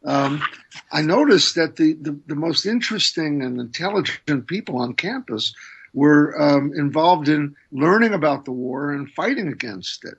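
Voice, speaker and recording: A man in his sixties talks as a radio guest, husky-voiced and measured, pausing often with "um" as he recalls things. His voice sits a touch high. The sound is muffled and dull, like a remote line. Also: lively intonation. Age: 60s. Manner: thoughtful, hesitant, many "um"s. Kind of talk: interview, recounting memories.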